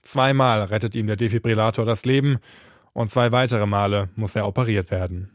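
A man's voice: on the low side, steady tone, a little fast, no echo. A man narrating a scripted podcast as its host and reporter, speaking into a close microphone.

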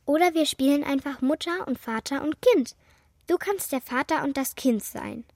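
A woman's voice: sits high, moderate pace, a little mellow, no echo, clean studio sound. A woman playing an imaginative, doubting young girl in a children's radio play, with a childlike, matter-of-fact manner. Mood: playful.

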